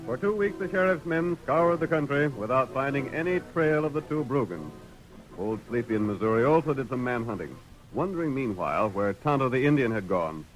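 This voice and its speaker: A man's voice: mid-range voice, expressive, a little fast, soft background music, low-quality recording. A man with an American accent narrating a vintage radio drama in a formal, dramatic style. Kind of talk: 1940s radio scripted narration.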